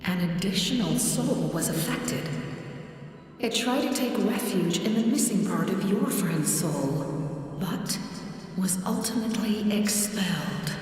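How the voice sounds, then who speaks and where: A woman voicing an ancient, solemn female angelic spirit in a video game, speaking slowly and formally. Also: medium pitch, expressive, a little mellow, lightly acted. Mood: solemn, calm. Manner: slow, solemn, formal, measured. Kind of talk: scripted video game voice acting. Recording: long echoing reverb, faint music behind.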